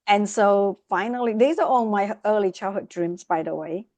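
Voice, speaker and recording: Podcast guest: middle-aged woman, expressive storyteller, dry close sound. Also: medium pitch, moderate pace, no room sound. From Vietnam. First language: Vietnamese. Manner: lively, expressive, speeds up in bursts. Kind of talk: casual interview storytelling.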